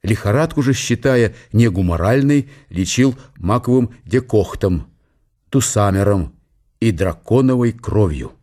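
A man's voice: lowish voice, animated, unhurried, a little mellow. A man narrating a historical radio play as scripted literary storytelling.

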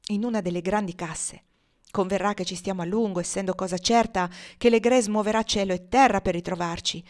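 Steely, resolute fugitive woman, quiet and unhurried, read by a woman. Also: lightly acted. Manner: calm, low, steady. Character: grim, resolute woman fugitive, cold and calm.

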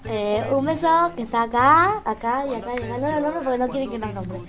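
Female community radio host, Argentine accent, high pitch, informal and halting delivery. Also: expressive, music in the background. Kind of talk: live community radio hosting, casual.

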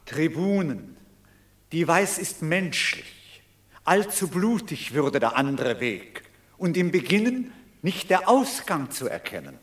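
Slow, measured Roman senator. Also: male voice, fairly high pitch, very animated. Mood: cautioning. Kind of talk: classic German radio drama acting.

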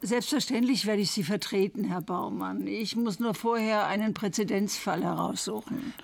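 Woman lawyer, mid pitch, vocal fry, brisk, dismissive, radio drama. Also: no room sound. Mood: businesslike. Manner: businesslike, dismissive.

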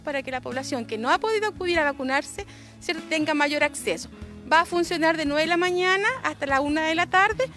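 A Chilean woman talks earnestly and off the cuff, like an official explaining things in an interview. Her voice is very high. Light music plays underneath. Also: sharp tone. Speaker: health official being interviewed. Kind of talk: spontaneous interview talk. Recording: music behind the voice. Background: faint music.

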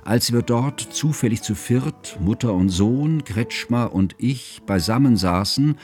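An actor in a radio drama playing a devoted scholarly friend who narrates his memories in a measured, formal, reflective way. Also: mid-range voice, lively intonation, darkish tone, soft background music, natural voice. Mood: measured, recollecting.

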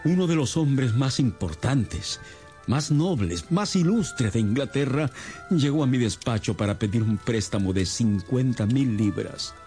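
Un actor que interpreta a un banquero maduro, imponente y angustiado que cuenta su caso en una radionovela. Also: male voice, mid-range voice, animated, measured pace, mellow, faint music behind, close to an everyday voice. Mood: grave, anxious. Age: middle-aged. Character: imposing, mature, haggard male banker, anxious. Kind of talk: radio drama acting.

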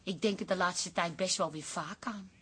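Husky, bright middle-aged barmaid. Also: female voice, on the low side, normal speed. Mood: wistful. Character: chatty, wistful barmaid and childless wife. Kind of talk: radio drama acting.

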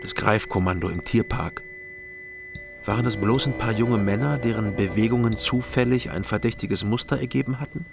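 A brooding man investigating in a radio crime drama. His voice is low with plenty of creak, and he speaks with measured introspection. Prominent music plays underneath. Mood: sober, watchful. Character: brooding East German former criminology student, narrating investigator. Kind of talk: radio crime drama acting and first-person narration.

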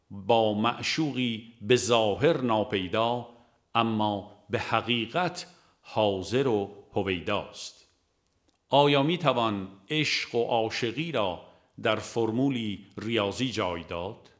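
A man narrating a religious audiobook in a formal, measured way with deliberate pauses. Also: medium pitch, a bit bright. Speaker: audiobook reader.

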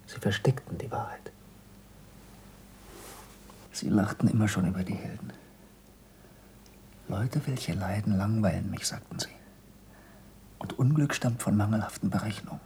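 An actor playing an earnest, intense younger former pupil of a scientist in a 1960s German radio play, with formal, urgent stage diction. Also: male voice, lowish voice, a little slow, vocal fry, long pauses, darkish tone, whispered. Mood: excited, insistent. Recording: slightly noisy old radio recording.

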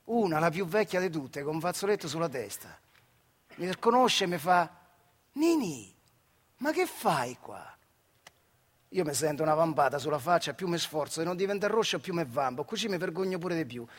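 Guy w a marche accent doing a dialect stage monologue, kinda high voice, acted storytelling with pauses. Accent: central Italian, Marche.

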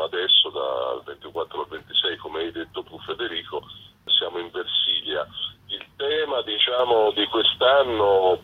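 A man's voice: low-pitched, slight background noise. A man giving a conversational, explanatory radio interview as a festival director, over a phone line. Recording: slightly muffled phone line.

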